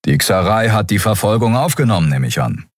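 A calm, stern admiral who commands with terse questions, read by a man. His voice is low and husky. The sound is dry, like a close audiobook reading. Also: fairly quick, no room sound. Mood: questioning.

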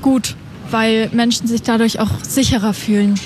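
A woman's voice: fairly high pitch, steady tone, moderate pace, a little mellow, slight background noise. A woman answering a reporter outdoors at a train station, hesitant with fillers. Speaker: passer-by in street interview. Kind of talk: vox pop. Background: street ambience.

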